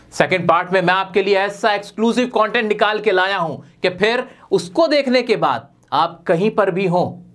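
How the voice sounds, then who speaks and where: A man teaching spoken English in an energetic online lesson, emphatic and full of rhetorical questions aimed straight at viewers. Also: sits high.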